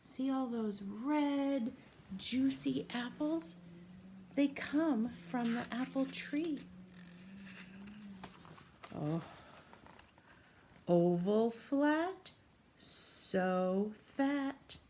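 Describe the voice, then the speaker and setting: A woman doing an interactive read-aloud for young children, gently asking questions and pausing for answers. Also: sits high, slight background noise. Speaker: teacher reading a picture book to young children. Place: small room at home, indoors.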